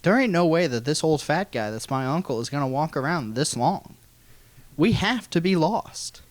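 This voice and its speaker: An American man telling a funny personal story in an animated, folksy way with some swearing. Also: fairly high pitch, normal speed, dry recording. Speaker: guest storyteller.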